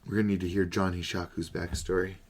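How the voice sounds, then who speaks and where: A man's voice: deep voice, little pitch movement, fast talker, breathy edge. A man chatting casually as a podcast co-host, with a wry, sarcastic, profane way of talking. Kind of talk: casual banter about manga. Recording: noticeable background noise.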